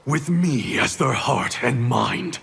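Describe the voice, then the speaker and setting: A man's voice: mid-range voice, lively intonation, takes it slowly, creaky, heavily acted. A man playing a cold, resolute warrior clan leader in a fighting game, stern and challenging in delivery. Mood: cold, defiant. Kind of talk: fighting game dialogue acting. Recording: very noisy game sound behind.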